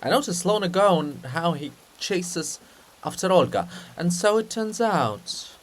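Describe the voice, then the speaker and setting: A man's voice: high voice, lively intonation, measured pace, low-quality recording. A man voicing a weary, grumpy old statue of a poet in a play reading, with an emphatic, querulous grumble. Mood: scornful, curious.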